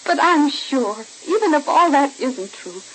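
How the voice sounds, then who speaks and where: High-voiced stubborn young daughter. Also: female voice, very animated, measured pace, rough recording. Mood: defensive, stubborn. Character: stubborn, earnest young daughter, defensive and accusing. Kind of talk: 1940s radio soap opera acting. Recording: hissy old-time radio recording.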